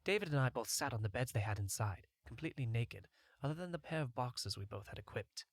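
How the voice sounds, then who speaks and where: A man's voice: medium pitch, animated, quick pace, no room sound. A man reading a first-person fantasy adventure story as a scripted audiobook narration.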